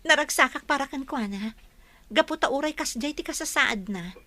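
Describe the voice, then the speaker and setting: A woman's voice: high-pitched, expressive, normal speed, sharp tone. A woman acting a sincere, devoted young woman in love in a serial audio drama. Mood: happy, patient. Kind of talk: Filipino radio-style drama dialogue.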